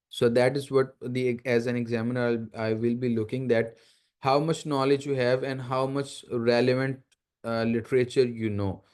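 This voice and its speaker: A man's voice: mid-range voice, a little mellow. A man with a Pakistani accent, a native Urdu speaker, giving an academic lecture as a guest university lecturer, explaining step by step with emphatic repetition. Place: online video meeting.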